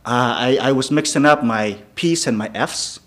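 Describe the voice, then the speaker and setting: A man from the Philippines, a native Filipino speaker, tells personal stories to a live audience. He talks at an ordinary, middle pitch and keeps checking in with the room by asking "okay?". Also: moderate pace, a bit bright. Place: venue before a live audience.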